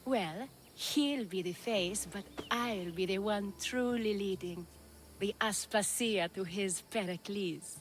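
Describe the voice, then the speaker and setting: A woman's voice: medium pitch, slow, natural voice. An actress playing a vain, ambitious young bride-to-be in a video game, smug and dismissive as she talks. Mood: scornful, smug. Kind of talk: video game cutscene acting.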